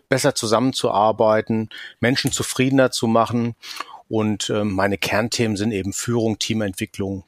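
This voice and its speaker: A man in his fifties with a Hessian accent, a leadership coach explaining calmly as a podcast guest, with frequent fillers. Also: mid-range voice, dry recording, clean studio sound. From Rhine-Main area, Germany. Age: 50s. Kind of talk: podcast interview.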